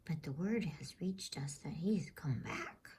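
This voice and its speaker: A woman voicing a grave, homely male talking beaver in a slow, folksy bedtime story reading. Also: low voice, lively intonation, mellow, slight background noise. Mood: reverent.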